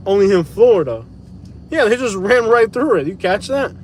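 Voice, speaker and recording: High-voiced man ranting from his car. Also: expressive, normal speed, a little mellow, a little hiss or hum. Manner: blunt, slangy, opinionated. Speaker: vlogger. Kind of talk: live-stream rant while driving. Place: car at night.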